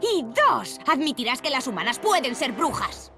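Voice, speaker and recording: A woman's voice: sits high, very animated, normal speed. A woman voicing a cheerful, plucky teenage apprentice witch with a Castilian Spanish accent in a cartoon dub. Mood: defiant. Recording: music behind the voice.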